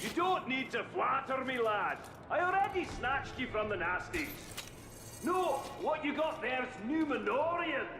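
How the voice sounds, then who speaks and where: Wildly animated dwarf tinkerer. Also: male voice, very high-pitched, unhurried, background noise, full character voice. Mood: jovial, knowing. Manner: folksy, wry, teasing. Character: gruff, jovial, eccentric dwarf tinkerer and adventurer. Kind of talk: animated video game character acting. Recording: roomy reverb under game music.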